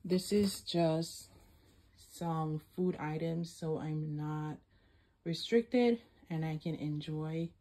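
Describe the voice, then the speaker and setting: A woman casually talking over her meal prep vlog as she shows her groceries, relaxed and trailing off at times. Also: low voice, slow. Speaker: vlogger. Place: home kitchen.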